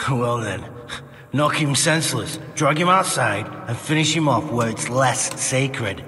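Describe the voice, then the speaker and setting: A man's voice: mid-range voice, expressive, unhurried, pushed and bright, big-room sound, soft background music. A man playing a ruthless, mocking medieval bandit recruiter in a video game, with a blunt, coarse, sardonic way of talking. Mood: callous, wry. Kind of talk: video game cutscene acting.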